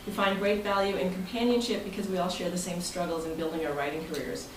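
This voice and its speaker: A woman's voice: lowish voice, fairly even intonation, fast. An American woman speaking informally as club president and host of a meeting, in a jokey manner. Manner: jokey, breaking into chuckles. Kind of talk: informal speech to a club meeting, part read from prepared text. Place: indoor club meeting room. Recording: echoey, noisy room, distant mic.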